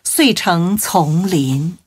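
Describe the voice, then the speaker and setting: A woman giving a solemn, measured and expressive scripted narration of classical religious biography. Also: lowish voice, husky, no room sound, clean studio sound.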